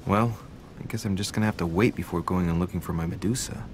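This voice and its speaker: An actor playing a brooding, scheming gentleman musing to himself in a video game, dry and wry. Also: deep voice, quick pace, slight background noise, natural voice. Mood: wry, impatient. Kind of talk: inner monologue in a video game.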